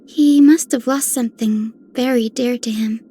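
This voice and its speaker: A woman playing a kind, caring young healer in a video game, with a gentle, polite manner. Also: fairly high pitch, steady tone, moderate pace, slightly rough. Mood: gentle concern. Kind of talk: scripted game character voicelines.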